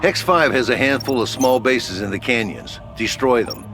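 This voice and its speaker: A man's voice: medium pitch, animated, over music, natural voice. Man as commanding military headquarters officer. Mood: firm, urgent. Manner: brisk, commanding, colloquial. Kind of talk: video game mission briefing.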